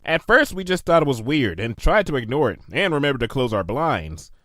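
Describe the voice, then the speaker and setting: A chatty mare from a pony storybook, played by a man, with a raised pitch and lively intonation. She gossips freely and sounds skeptical, in a dry recording. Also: brisk, no room sound. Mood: gossipy. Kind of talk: fan fiction storybook character voice.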